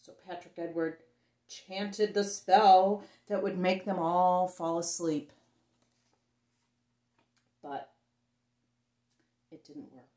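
A middle-aged woman reads a picture book aloud to young children with theatrical emphasis and dramatic pauses. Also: fairly low pitch, steady tone, warm tone. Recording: roomy echo on the voice.

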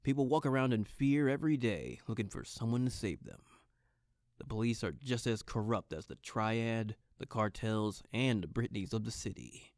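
An actor playing a brooding, earnest and wry private detective, narrating a noir audio drama. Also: male voice, mid-range voice, normal speed, darkish tone, dry recording, clean studio sound, natural voice.

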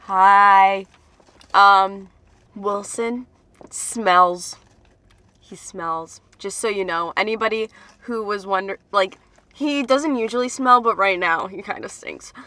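A woman's voice: mid-range voice, slow pace, bright, forceful tone. A woman in her twenties chatting casually in a playful, offhand way as a guest in a car. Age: 20s. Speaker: guest on friend's vlog. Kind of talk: casual chat. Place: inside a parked car.

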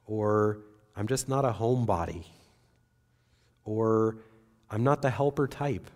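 A man in his forties, a pastor, preaching an earnest, measured sermon from a pulpit on a church stage. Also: on the low side, darkish tone.

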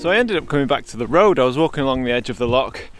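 A man's voice: medium pitch, lively intonation, rapid-fire, a bit bright, faint music behind. A man, a landscape photographer casually narrating his vlog outdoors, thinking aloud. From England. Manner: reflective, thinking aloud with fillers. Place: outdoors in winter Scottish highlands.

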